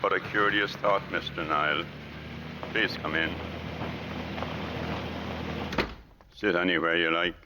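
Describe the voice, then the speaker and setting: An actor playing a gloomy car salesman in a radio drama, speaking in a formal, deadpan, sardonic and measured way. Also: deep voice, heavy background noise, close to an everyday voice. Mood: coolly sardonic. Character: tall, gloomy, curt male car salesman, dryly superior.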